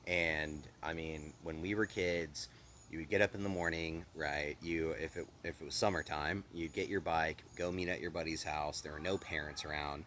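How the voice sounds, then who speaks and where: A man in his thirties from Austin, Texas, telling stories from his childhood in a relaxed, rambling way as an interview guest. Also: extremely low pitch, normal speed, a little hiss or hum. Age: 30s. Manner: relaxed, rambling, says "like" and "you know" a lot. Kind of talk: casual conversational storytelling.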